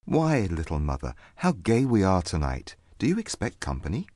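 Woman putting on a very deep, courteous husband. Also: huge pitch swings, dark tone, no room sound, studio quality, heavily acted. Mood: pleasantly surprised. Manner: courteous, measured, formal. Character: John, mild old-fashioned husband and firm young father.

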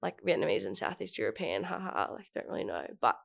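A woman's voice: fairly low pitch, big pitch swings, fast, gravelly. A young woman in casual chat, talking in a chatty way with lots of fillers and frequent laughs.

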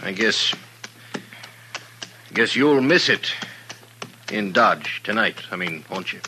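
A brooding former seaman out on the western trail. His voice is lowish and hard-edged, his speech terse and guarded, on an old radio drama recording with faint noise. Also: animated, slow pace, pushed and bright, exaggerated voice. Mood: gloomy. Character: moody, guarded ex-sailor riding the western trail. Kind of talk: 1950s radio western acting.

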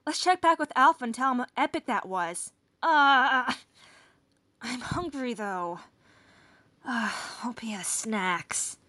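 A woman's voice: fairly high pitch, lively intonation, takes it slowly, pushed and bright, dry recording, full character voice. A woman playing an upbeat, carefree young hero ranger in an audio drama. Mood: upbeat, casual.

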